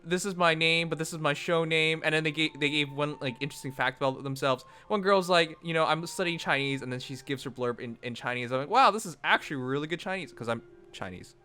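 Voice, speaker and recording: A young man chatting casually on a livestream, animated and rambling, often breaking into laughs. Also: fairly high pitch, fast talker. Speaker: streamer. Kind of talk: casual stream chat. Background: faint music.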